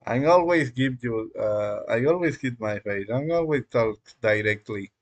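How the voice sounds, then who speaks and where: A man whose first language is Spanish rambles through a live coding stream at an unhurried, slow pace. His pitch sits in an ordinary middle range, and he keeps stalling on fillers and breaking into small chuckles as he talks to no one in particular. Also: expressive. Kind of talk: casual live-stream chat. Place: dark room at home.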